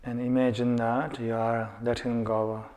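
A man guiding a meditation, giving unhurried instructions in short phrases with pauses between them. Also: mid-range voice, flat delivery, darkish tone. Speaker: meditation guide. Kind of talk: guided meditation, slow instruction with chanting.

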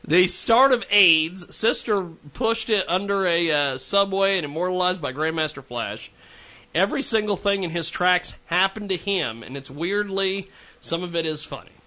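A man's voice: sits high, animated, normal speed, sharp tone. A man hosting a podcast, introducing guests in an upbeat way and laughing often. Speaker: host. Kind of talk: casual podcast interview.

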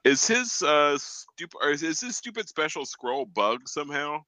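A man's voice: a little high, big pitch swings, measured pace, bright, forceful tone, dry recording. A man on a podcast panel talking casually and bluntly, restarting as he puts questions to the others. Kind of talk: casual chat.

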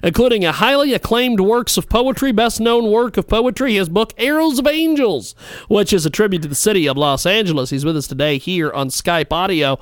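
A man's voice: high-pitched, expressive, fairly quick, no room sound, clean studio sound. A man hosting a radio broadcast, introducing his guest in an upbeat, promotional way. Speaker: radio host.

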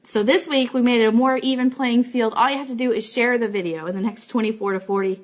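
Higher-voiced woman hosting a casual livestream. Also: fairly even intonation, quick pace. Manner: chatty, informal, thanking viewers by name. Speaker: host of an Elvis fan live show. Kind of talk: casual livestream announcements to viewers. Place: office.

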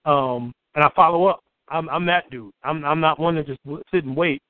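A man from Chicago, a poet and storyteller, telling his story in an interview with a booming voice and an outspoken, repetitive manner. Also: normal speed, no room sound. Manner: outspoken, repeats words when excited. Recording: tinny phone-like line, dry.

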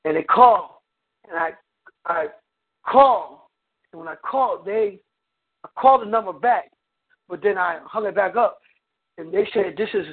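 A man's voice: sits high, husky. A man, the guest preacher, telling a story in his sermon and breaking it up with "come on, amen" as he goes. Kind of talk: preaching by phone conference. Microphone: phone. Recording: tinny phone line.

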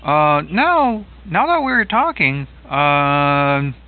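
A man's voice: a little high, very animated, extremely slow, slight background noise. Japanese-born man on a tinny line. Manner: hesitant, many fillers and restarts. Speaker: host. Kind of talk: casual conversation. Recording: phone-like narrow audio line.

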